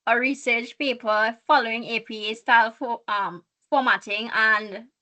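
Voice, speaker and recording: Bright-voiced Trinidadian woman. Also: on the high side. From Trinidad and Tobago. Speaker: guest valedictorian student. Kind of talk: online workshop interview.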